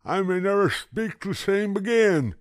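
Storybook role: a man doing a sorrowful toothless grandpa, high and strained, slow and mumbled, in a dry booth. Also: expressive, no room sound. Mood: moaning. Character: toothless old grandfather, gloomy and suspicious. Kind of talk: storybook character voice.

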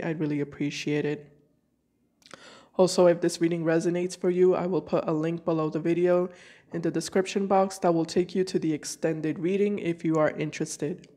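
A woman's voice: on the low side, mellow. A woman reading tarot cards for her viewers, talking casually and thinking aloud with hesitant restarts, while sick with a cold. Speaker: tarot reader. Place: home room with decorated card table.

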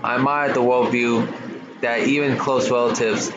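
A man's voice: mid-range voice, steady tone, a little slow, lo-fi sound. A man streaming a game, reading its text aloud, stumbling and restarting over words, with slangy asides. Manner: stutters on some words. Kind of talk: casual let's-play reading of game text. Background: loud game music.

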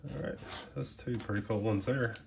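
A man's voice: fairly low pitch, normal speed, gravelly. A man with a head cold and a nasal voice, chatting casually as he opens packs of trading cards at a table, reading names off them. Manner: relaxed, rambling, reads names off cards. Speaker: card collector unboxing trading cards. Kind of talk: casual unboxing commentary. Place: indoors at a small table, close to the camera. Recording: loud crinkling wrapper noise.

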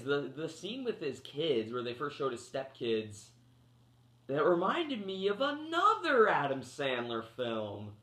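Guy around 30 getting over a cold, rambles with lots of um and like, mid pitch voice, roomy echo. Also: a bit bright. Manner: rambling, full of um and like, restarts sentences. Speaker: movie reviewer. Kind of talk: casual rambling movie review. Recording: echoey room.

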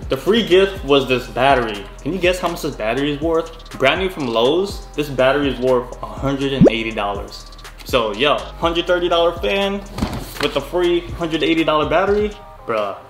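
A young man casually reviewing tools in his home garage, excitable and slangy, talking straight to a desk camera. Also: fairly high pitch, normal speed. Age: young adult. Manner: excitable, slangy, laughs often. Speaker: car and tool vlogger. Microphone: camera on desk, seated facing it. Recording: echoey garage with background music.

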